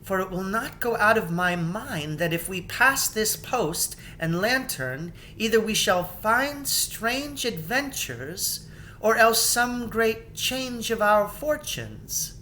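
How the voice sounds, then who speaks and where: A man's voice: sing-song, takes it slowly, bright, forceful tone, full character voice, poor audio. A man voicing a grave, gracious young queen in a storybook fantasy, speaking in formal, stately, archaic phrases. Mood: wondering, foreboding. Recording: background noise with low rumble.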